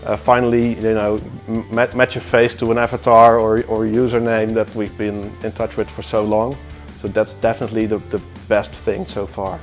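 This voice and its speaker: Man, lowish voice. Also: level tone, normal speed. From Netherlands. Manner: easygoing, small restarts. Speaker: bug bounty hunter. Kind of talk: casual interview answers. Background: faint music.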